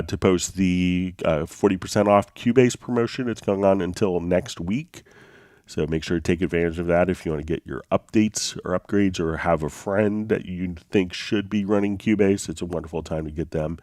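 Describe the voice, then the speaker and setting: Deep-voiced man, congested. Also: darkish tone, no room sound, studio quality. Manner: easygoing, with many fillers and restarts. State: congested from a slight cold. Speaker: host. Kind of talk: live software tutorial stream.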